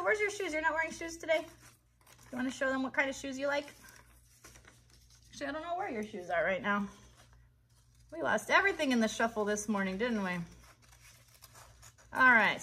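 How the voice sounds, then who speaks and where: High, bright American woman hosting kids' crafts. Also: slight background noise. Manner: encouraging, chatty, talking directly to children. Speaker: children's craft host and puppeteer. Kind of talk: live craft tutorial for children. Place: child's bedroom set indoors.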